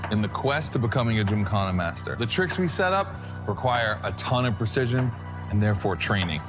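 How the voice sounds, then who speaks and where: Man acting confident driving mentor over loud music. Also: fairly low pitch, expressive, brisk, close to an everyday voice. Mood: instructive, intense. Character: confident, demanding male gymkhana master mentor. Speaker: driving mentor in a racing game. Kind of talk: scripted racing game coaching. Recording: loud music and car noise behind. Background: loud music, cars.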